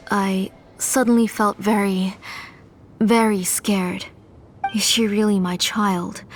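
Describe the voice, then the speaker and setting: An actress playing a grieving mother turned obsessed villain in a game cutscene, speaking softly and dropping into tender whispers. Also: mid-range voice, lightly acted. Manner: soft, haunted, tender turning to whispers. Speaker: antagonist.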